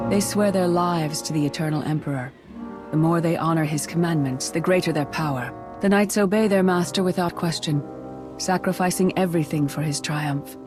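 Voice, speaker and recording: Woman as a measured, wise Jedi mentor. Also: sits low, lightly acted. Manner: measured, earnest, instructive. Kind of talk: video game voice acting.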